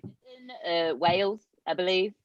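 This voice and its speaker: A woman's voice: on the low side, a little slow, dry recording. A woman, a contestant on a video call, speaking hesitantly with little giggles. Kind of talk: casual chat. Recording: dull, lo-fi call audio.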